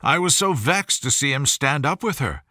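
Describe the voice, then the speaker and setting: A man voicing an excitable, gossipy middle-aged wife and mother in a storybook reading, effusive and exclamatory as she rattles on. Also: fairly high pitch, normal speed, dry recording, clean studio sound. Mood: gushing, excited.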